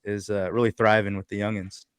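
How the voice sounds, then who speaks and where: A man's voice: on the low side, flat delivery, clean tone, dry recording, studio quality. A man co-hosting a casual podcast, enthusiastic and profane, often cheering and laughing along with his guests. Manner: hyped, profane, quick to laugh. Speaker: podcast co-host.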